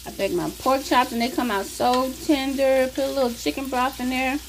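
Middle-aged Southern US woman, high-pitched, informal kitchen co-host chat, slight frying noise underneath. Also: fairly even intonation, brisk. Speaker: co-host and helper. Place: small home kitchen. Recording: noisy kitchen with sizzling food. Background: frying and stirring sounds.

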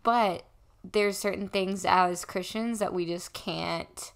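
A woman in her early twenties talks in a fairly low voice as a podcast guest. She is earnest and reflective, pausing to gather her thoughts, and speaks into a desk mic in a small room. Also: steady tone, vocal fry. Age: early 20s. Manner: earnest, reflective, with pauses and restarts. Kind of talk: casual faith podcast conversation. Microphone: desk condenser mic.

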